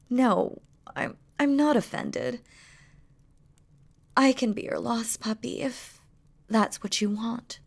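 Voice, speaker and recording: Woman voicing a slow, seductive demon queen in love. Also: on the high side, vocal fry, full character voice. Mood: curious, teasing. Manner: slow, tender, teasing, regal formal phrasing. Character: feared demon queen, doting, playful, seductive lover. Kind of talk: intimate romantic audio roleplay.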